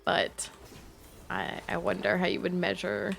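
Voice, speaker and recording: Rambling young woman streamer, gravelly voice. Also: on the low side, big pitch swings, unhurried, fairly bright tone. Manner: rambling, giggly, self-interrupting. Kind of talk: casual chat while gaming. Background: game audio and music.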